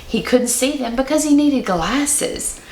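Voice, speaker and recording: A woman voicing a kindly grandmother who reminisces gently in a children's bedtime story. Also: high-pitched, expressive, a little slow, roomy sound, a little hiss or hum. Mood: explaining. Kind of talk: children's storybook read-aloud.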